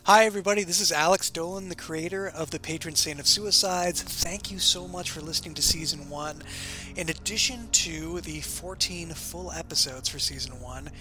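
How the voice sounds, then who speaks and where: Male show creator, fairly high voice, scripted announcement, prominent music bed. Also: normal speed, pushed and bright.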